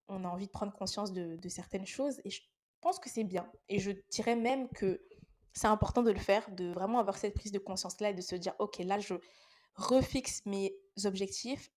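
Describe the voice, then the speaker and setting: A woman's voice: medium pitch, a little mellow. A young woman with a head cold hosts a casual faith talk podcast, speaking to her listeners in an earnest, encouraging way. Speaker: podcast host.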